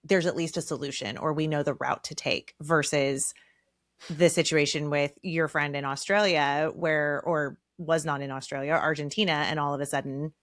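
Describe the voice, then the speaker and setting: A woman's voice: low voice, fairly even intonation, moderate pace, fairly bright tone, no room sound, clean studio sound. A woman hosting a podcast interview, engaged and affirming, framing long questions for her guests. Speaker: podcast host. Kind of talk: casual conversational interview.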